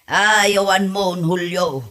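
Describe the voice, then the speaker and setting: A woman's voice: medium pitch, steady tone, takes it slowly, a bit bright. A woman with an Ilocano accent playing a nagging, reproachful village mother in a comedy audio drama. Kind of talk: amateur Ilocano comedy radio drama acting.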